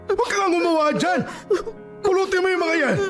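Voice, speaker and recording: A man's voice: very high voice, brisk, fairly bright tone, soft background music. A man playing a poor, exasperated, tearful old grandfather in a Tagalog radio drama, with a Filipino accent and an emotional way of scolding and pleading. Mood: angry, tearful. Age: older.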